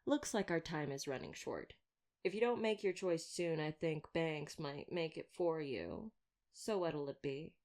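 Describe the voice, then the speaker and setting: A woman's voice: low-pitched, animated, a little slow, darkish tone. A woman playing a wry, talkative vampire hunter in an intimate roleplay audio drama, glib and teasing with a caring side. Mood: soft, caring. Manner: glib, flirtatious, sardonic banter.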